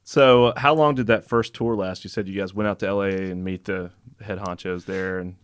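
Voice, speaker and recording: A man's voice: low-pitched, fairly even intonation, normal speed, clean tone, no echo, studio quality. A man with a Southern American accent, hosting a casual conversational interview. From Alabama, American South. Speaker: interviewer, host.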